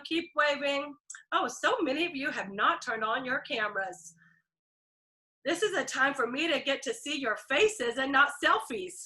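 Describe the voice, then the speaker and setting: A woman's voice: sits high, bright, forceful tone, poor audio. Upbeat woman with a stuffy throat. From Texas. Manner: upbeat, chatty, many ums and throat clearing. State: stuffy and scratchy throat, coughing. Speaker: team leader hosting a video call meeting. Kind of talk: casual team call. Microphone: video call.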